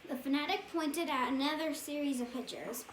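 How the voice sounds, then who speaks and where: A woman with a high-pitched voice reads a children's bedtime story aloud. She goes haltingly, now and then correcting a word. The room is echoey, with faint noise behind her. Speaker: storybook reader.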